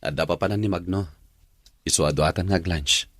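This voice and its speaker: Husky actor as a lovestruck Ilocano man. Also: low-pitched, normal speed, fairly bright tone, no echo, studio quality. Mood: hesitant, guarded. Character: lovestruck, defensive man in love with a separated woman. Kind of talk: Ilocano radio drama acting.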